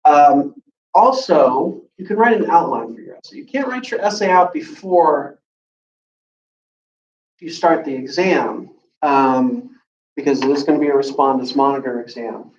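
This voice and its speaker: An American man speaks as a college instructor in a slightly high voice. He explains things informally and hesitantly, in an easygoing way with mid-sentence pauses. The sound is echoey and muffled, picked up at a distance from the mic in a classroom. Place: classroom with students.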